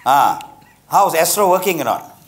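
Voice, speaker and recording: An actor with a Malaysian accent playing a harried middle-aged bachelor lawyer placating his mother in a live stage comedy, halting and repetitive. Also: a little high, very animated, very noisy. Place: theatre stage with bar set. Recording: live theatre with faint audience. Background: audience laughter.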